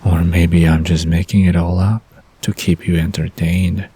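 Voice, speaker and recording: A man playing a low, smirking, conspiratorial charmer. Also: flat delivery, a little slow, mellow, no echo, heavily acted. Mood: playful, conspiratorial. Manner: smooth, half playful, half conspiratorial. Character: smirking, playful, conspiratorial young man teasing his companion. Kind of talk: romantic bedtime audio drama acting.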